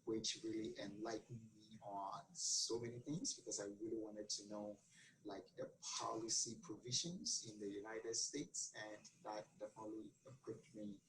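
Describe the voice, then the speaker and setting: Guy, lowish voice, earnest, lots of ums, bit of hiss behind. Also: moderate pace, a bit bright, poor audio. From Ghana. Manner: earnest, many fillers and restarts. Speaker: guest. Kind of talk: interview answers.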